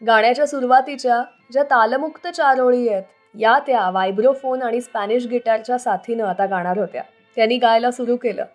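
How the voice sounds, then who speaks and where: A woman's voice: a little high, brisk, hardly any pauses. A woman hosting a radio show, narrating scripted stories about old songs. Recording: music playing under the voice. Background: background music.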